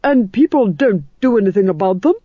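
A woman voicing a ruthless, commanding duchess for a storybook, with a stern, imperious and deliberate manner. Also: mid-range voice, animated, breathy edge, no echo, phone-quality sound, pitched above the performer's own voice. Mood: incredulous.

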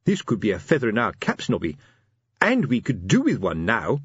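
Fred Colon, a man voicing a grumbling husky sergeant. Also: lowish voice, huge pitch swings, moderate pace, no room sound, muffled recording, exaggerated voice. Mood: gloomy. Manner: grumbling, ponderous.

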